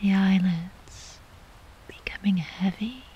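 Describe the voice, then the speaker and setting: Woman, yoga nidra guide, hushed whispery voice, mellow tone, slow unhurried phrasing, soothing, slightly muffled recording. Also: mid-range voice, a little hiss or hum. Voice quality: soft, breathy, whispery. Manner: soothing, gentle, unhurried with long pauses. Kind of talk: guided sleep meditation.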